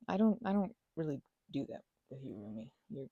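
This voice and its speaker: A woman acting as a young female housemate in a cozy ASMR roleplay, talking casually in a very lively, swooping voice. She rambles, stumbles over her words and turns playful or bashful. The sound is dry and close, with a slightly husky edge and a mellow tone. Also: a bass voice, no room sound, phone-quality sound, exaggerated voice. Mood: pleading, earnest. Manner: rambling, halting, stammering, giggly asides. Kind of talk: ASMR roleplay, casual one-sided conversation.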